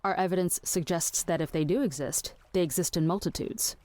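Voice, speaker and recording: An actress plays an earnest woman researcher in an audio drama. Her voice sits a little low for a woman. She lays out fringe theories in a measured, pedantic, matter-of-fact manner. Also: a little mellow, no room sound, close to an everyday voice. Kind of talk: scripted audio drama acting.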